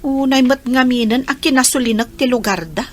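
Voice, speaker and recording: A woman's voice: sits high, a little fast. A woman acting an anxious, emotional young lover in a radio drama, with an Ilocano accent. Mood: worried. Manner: emotional, plaintive. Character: Lilia, anxious, heartbroken young pregnant woman, betrayed lover.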